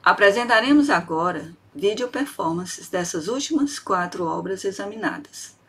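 A woman's voice: medium pitch, animated, moderate pace, fairly bright tone, husky. Brazilian woman reading a music lecture. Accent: Brazilian Portuguese. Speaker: piano professor giving a lecture. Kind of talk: read academic lecture.

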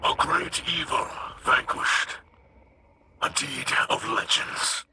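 A man voicing a solemn, devout alien warrior-mystic in a video game, with a breathy, gravelly whispering growl, speaking reverently. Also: takes it slowly, pushed and bright, slight background noise. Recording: music behind the voice.

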